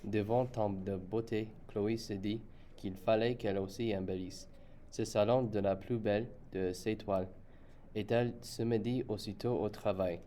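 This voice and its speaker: Mellow, lowish young man reading a story. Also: fairly even intonation, unhurried, a little hiss or hum. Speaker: student reader. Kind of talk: read-aloud children's storybook narration.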